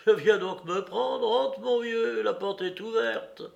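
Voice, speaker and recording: Man putting on a high-pitched, brazen, taunting woman. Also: expressive, a little slow, vocal fry, dull audio, full character voice. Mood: mocking, defiant. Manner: taunting, slangy, exclamatory. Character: brazen mocking young fairground woman, streetwise and slangy.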